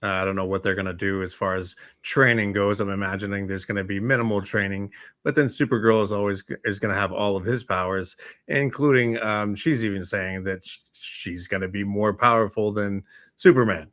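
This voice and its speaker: A man with a fairly low but bright, forceful voice chats casually as a show co-host. He rambles and stumbles over repeated words. The recording is dry. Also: steady tone, fairly quick, no echo. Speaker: co-host of a geek culture morning chat show.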